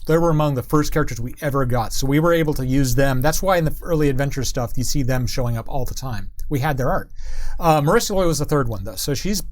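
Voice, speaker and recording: A man in his forties chatting casually as an interview guest, rambling through anecdotes in an animated, enthusiastic way. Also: medium pitch, fast, no room sound. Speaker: creative director, interview guest. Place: small curtained studio set, indoors. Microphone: lapel mic.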